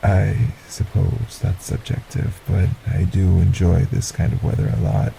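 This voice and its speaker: A man voicing a polite, sinister male stranger in an audio roleplay, speaking formally and courteously with a soft, breathy menace. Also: low-pitched, steady tone, a little slow, noisy background, heavily acted. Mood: smooth, courteous.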